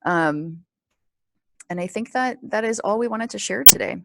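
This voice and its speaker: A woman presenting a training webinar in an informal, explanatory way, with a halting, pause-filled delivery. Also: medium pitch, vocal fry, heavy background noise. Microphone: conference call or webinar microphone.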